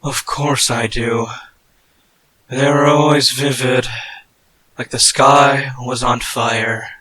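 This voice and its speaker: A man's voice: medium pitch, flat delivery, slow pace, a crowd in the background, lightly acted, rough recording. A man voicing a stubborn, idealistic wounded knight leader in a dramatic roleplay, earnest and solemn. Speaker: voice actor. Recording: loud background music.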